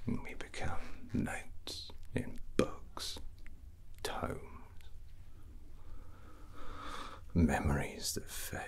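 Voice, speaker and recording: A man playing a drunken, brooding, scheming fantasy prince in a whispered ASMR roleplay, halting and musing with a breathy, hushed voice. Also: natural voice. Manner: slow, halting, musing, with long pauses.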